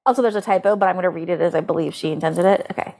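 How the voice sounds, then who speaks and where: A woman's voice: on the low side, very fast, a little mellow. A woman co-hosting a podcast in casual comedic chat, talking informally and incredulously, sometimes reading aloud with drama. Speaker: podcast co-host.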